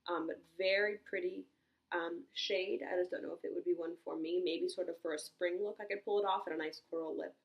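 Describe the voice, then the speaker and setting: Congested young woman, fairly low voice, casual makeup review, thinks out loud, lots of ums. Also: fairly even intonation, brisk, creaky, muffled recording. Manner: chatty, thinks aloud, many ums and small exclamations. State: congested from a cold. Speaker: beauty vlogger.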